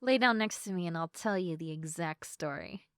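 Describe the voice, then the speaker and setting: A woman playing a sleepy, affectionate girlfriend in an intimate ASMR roleplay, chatting softly. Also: fairly low pitch, expressive, normal speed, smooth, no echo, clean studio sound, lightly acted. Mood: playful, sleepy.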